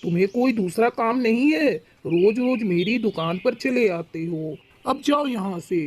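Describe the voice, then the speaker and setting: A woman's voice: a little high, lively intonation, a little slow, a little mellow, slight background noise, voice pushed down. A woman voicing a fed-up male pigeon milk seller in a children's cartoon, firm and reproachful. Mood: annoyed. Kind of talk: Hindi children's cartoon dialogue.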